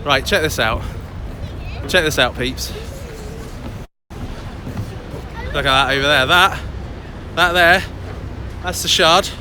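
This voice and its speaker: Loud, chatty English man outdoors. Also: on the high side, slow pace, pauses often, crowd noise. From England. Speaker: crypto vlogger. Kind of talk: casual live vlog. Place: outdoors on a busy city street. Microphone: phone held by hand, close. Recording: outdoor street noise. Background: city traffic and passers-by.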